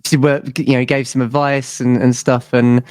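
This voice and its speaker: A man's voice: medium pitch, little pitch movement, moderate pace, darkish tone, no room sound. A man with a southern English accent chatting casually as a musician being interviewed, rambling and self-deprecating. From southern England, UK. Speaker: interview guest, band musician. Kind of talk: casual chat.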